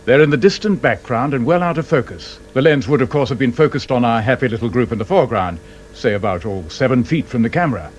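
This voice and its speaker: A man's voice: mid-range voice, animated, fast, muffled recording, a little hiss or hum, natural voice. An actor playing a wry, sarcastic male investigator with a pedantic, teasing manner and a British accent in a radio drama. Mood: wry, instructive.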